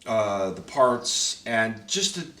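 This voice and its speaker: A man producer hosts a loose chat with his cast, easygoing and quick with questions. He sits away from the microphone, and the room gives his voice a roomy echo. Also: fairly low pitch, very animated, sharp tone. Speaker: producer and host. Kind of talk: casual interview chat. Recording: roomy echo, distant mic.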